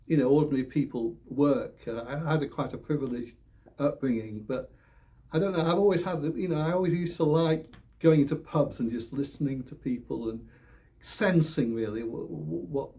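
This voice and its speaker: Older man, hesitant and halting. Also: fairly high pitch, mellow. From England, born Leeds, raised Oldham. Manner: hesitant, many repeated words and restarts, with small laughs. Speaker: election candidate. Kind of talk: interview answers.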